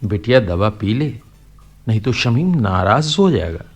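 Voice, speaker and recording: An actor playing a kindly, middle-aged father figure in a Hindi radio play, speaking in a gentle, coaxing, philosophical way. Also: on the low side, sing-song, a little slow.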